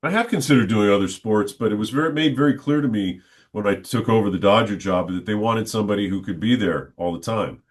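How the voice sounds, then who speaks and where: A middle-aged man, a stadium announcer, telling stories in a relaxed, good-humored interview. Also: lowish voice, fairly quick. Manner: good-humored, reflective, talks at length. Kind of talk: casual storytelling in an interview.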